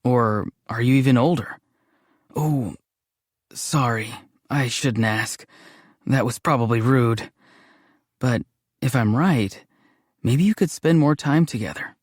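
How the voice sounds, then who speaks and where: A gentle, dreamy young man from a fantasy game, speaks in a mid-pitched voice. He muses in a deadpan, slightly hesitant and awkward way. The sound is close and dry, with no room around it. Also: slow, long pauses, no echo. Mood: earnest, awkward. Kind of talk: scripted game character voice lines.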